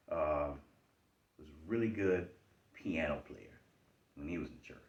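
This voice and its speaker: A man's voice: echoey room, highs cut off. A man from Charleston with a Charleston Geechee accent, giving an emphatic, repetitive motivational talk. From Charleston, South Carolina. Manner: emphatic, repetitive, lively swings in pitch. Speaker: self-help speaker.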